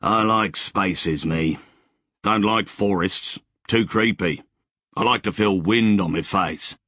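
A man's voice: deep voice, slow, sharp tone, breathy edge, dry recording, studio quality, exaggerated voice. A man voicing a cheerful, grizzled older man with a breezy, slangy way of talking. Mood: easygoing.

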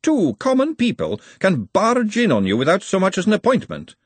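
Man putting on a high, haughty aristocratic lady. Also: wildly swooping pitch, moderate pace, breathy edge, no room sound, full character voice. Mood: disdainful, challenging. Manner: haughty, formal, pointed. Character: haughty, imperious aristocratic lady, sharp and disdainful.

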